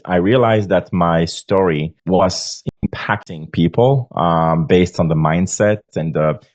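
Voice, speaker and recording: A deep, low-voiced man in his forties speaks with a Belgian accent. He talks earnestly and stops often on fillers. The sound is dry and a little dull, like a remote lo-fi line. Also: darkish tone, no echo. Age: 40s. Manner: earnest, halting with many fillers. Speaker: guest author. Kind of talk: podcast interview.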